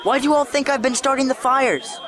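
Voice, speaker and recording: A woman's voice: high-pitched, fairly quick, close to an everyday voice. A woman playing a frightened, defensive nerdy schoolboy in an audio drama. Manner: defensive, upset. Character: harassed nerdy teenage boy, frightened, defensive. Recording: loud music and crowd behind.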